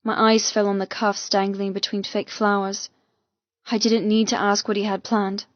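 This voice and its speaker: Female audiobook narrator, mid-range voice, dramatic romance storytelling, intense expressive phrasing, close dry recording. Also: medium pitch, normal speed, no echo, muffled recording.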